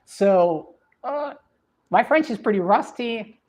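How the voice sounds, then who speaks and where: A woman's voice: fairly high pitch, expressive, slow, darkish tone. A woman from Russia, a native Russian speaker with a mixed Russian and British English accent, chatting thoughtfully on a livestream with many hesitations and restarts. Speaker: streamer answering viewer questions. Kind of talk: casual livestream chat.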